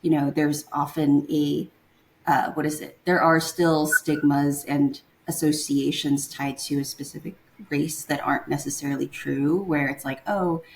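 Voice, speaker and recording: Young woman guest from Taiwan, low voice, chatty and hesitant, lots of fillers. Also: steady tone, measured pace, a little hiss or hum, poor audio. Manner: hesitant, searching for words with many fillers. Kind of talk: casual interview conversation.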